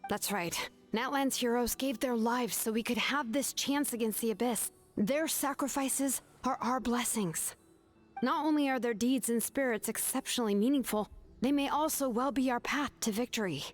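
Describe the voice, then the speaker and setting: A woman's voice: fairly high pitch, moderate pace, soft background music, lightly acted. A woman voicing a spirited, frank and hopeful young leader in a video game. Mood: determined.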